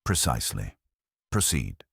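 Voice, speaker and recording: A man plays an imperious older genius detective from a mystery audiobook. He is pedantic and pompous, with a very low, husky voice and formal, measured phrasing. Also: sing-song, dry recording, clean studio sound, full character voice. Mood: dry disdain.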